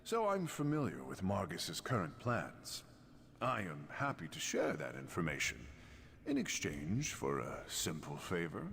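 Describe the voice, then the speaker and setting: A low-voiced lizard-man smuggler from a fantasy video game, suave and roguish. He speaks with theatrical, flowery courtesy and wry flair, his pitch swooping freely in a long reverberant space. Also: huge pitch swings, a little slow, gravelly, a bit bright, heavily acted. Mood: wry, bargaining. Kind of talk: video game character dialogue.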